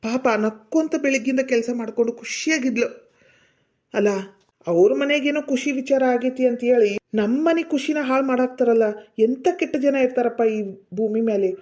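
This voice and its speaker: Protective family man voiced by a woman, fairly high-pitched, emphatic, North Karnataka accent, amateur family drama. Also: moderate pace, darkish tone, lightly acted. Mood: dismayed. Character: earnest adult man, protective of his family.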